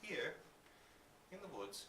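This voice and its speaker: A man voicing a sly, feral teenage boy from a storybook, patronizing and unsettling, speaking with slow, teasing relish. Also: on the high side, very animated, voice pushed up. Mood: smug, languid. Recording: roomy, distant live recording with hiss.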